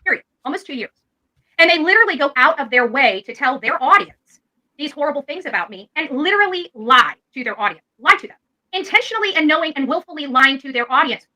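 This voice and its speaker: Female video creator, high-pitched and rapid, informal, insistent, defensive. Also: pushed and bright, rough recording. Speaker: online content creator.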